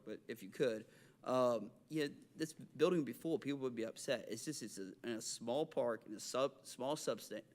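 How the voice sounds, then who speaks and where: A man with a Southern accent from Alabama, speaking at a city council meeting in a halting way, with restarts and fillers. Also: mid-range voice, a little mellow. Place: council chamber.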